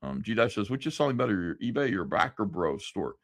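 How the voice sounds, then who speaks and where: A man hosts a casual livestream and reads out viewer comments between loose, profane asides packed with fillers. His pitch roams widely from line to line. The sound is dry and close, with no room behind it. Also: big pitch swings, fairly quick, fairly bright tone, no echo. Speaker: livestream host.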